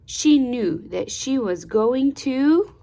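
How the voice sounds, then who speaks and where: A woman reading a children's storybook aloud in a deliberate, expressive, teacherly way, pausing to put questions to the listener. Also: on the high side, gravelly. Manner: deliberate, expressive teacher-like delivery with pauses and prompts.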